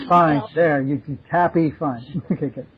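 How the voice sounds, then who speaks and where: Male panelist, somewhat high voice, casual chat, dull lo-fi recording. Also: expressive, a little slow, a crowd in the background. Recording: dull lo-fi audio.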